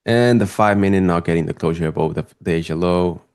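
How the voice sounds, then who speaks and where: Deep-voiced Spanish man calling trades. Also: fast talker. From Spain. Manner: casual, excitable, chatty with viewers. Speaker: live trading streamer. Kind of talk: live market commentary.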